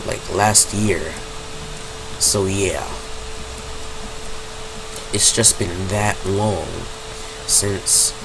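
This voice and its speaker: A young man with a slightly low voice and a stuffy nose from a cold talks through his gameplay in a loose, rambling way, often correcting himself. His home desk mic picks up a constant loud hum and background noise. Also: drawn-out words, long pauses. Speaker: gaming channel host. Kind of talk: casual gameplay commentary.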